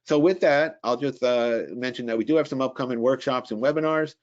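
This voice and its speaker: A man introducing a software webinar in a casual, rambling way, full of fillers and restarts. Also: mid-range voice, brisk, lo-fi sound. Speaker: company presenter introducing a webinar.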